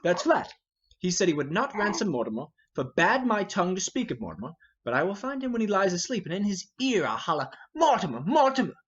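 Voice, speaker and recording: A man's voice: high voice, sing-song, brisk, husky, lo-fi sound, heavily acted. A man playing a hot-headed, impatient young nobleman, ranting in a declamatory Shakespearean stage reading. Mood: defiant. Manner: impetuous, declamatory. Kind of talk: dramatic reading of a Shakespeare play.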